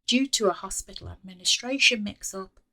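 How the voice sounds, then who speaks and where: A woman author reading her own story aloud in an expressive scripted narration. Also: medium pitch, fairly quick, gravelly, no echo, low-quality recording. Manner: expressive, acts out the dialogue.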